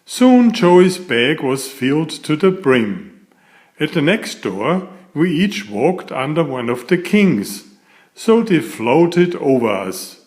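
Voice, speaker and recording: A man narrating a children's picture book aloud in a deliberate, expressive storytelling manner. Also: fairly high pitch. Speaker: author reading aloud.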